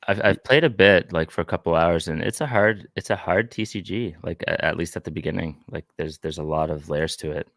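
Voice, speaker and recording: A man hosting a relaxed online show about a card game, chatting and joking with a guest. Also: low voice, a little fast, creaky, few pauses, dry recording. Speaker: host.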